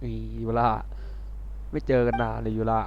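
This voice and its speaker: A man's voice: on the low side, level tone, racing, dull audio, slight background noise. A Thai man giving casual reaction commentary over gameplay as a game streamer. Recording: game music behind.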